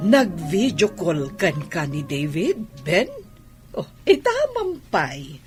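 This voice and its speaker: A woman's voice: on the low side, wildly swooping pitch, takes it slowly, darkish tone, faint music behind, heavily acted. A woman playing a kindly, caring, motherly figure in an audio drama, speaking encouragingly with an Ilocano accent. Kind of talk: dramatized radio-style acting.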